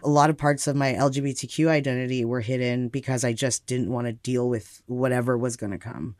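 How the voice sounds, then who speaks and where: A man's voice: mid-range voice, steady tone, fairly quick, darkish tone, dry recording, clean studio sound. A man with an American accent telling personal stories reflectively and conversationally as an interview guest.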